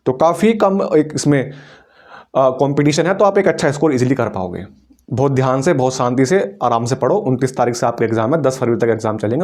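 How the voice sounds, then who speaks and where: A man's voice: on the high side, a little mellow. A man giving informal exam coaching, often checking in with short tag questions and mixing in English terms. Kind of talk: informal instructional talk to exam students.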